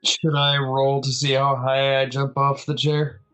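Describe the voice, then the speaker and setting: A man's voice: medium pitch, completely flat delivery, unhurried, a bit bright, clean tone. A man playing a tabletop roleplaying game, chatting and bantering casually as a player. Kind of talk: casual chat and in-character banter. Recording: music behind the voice.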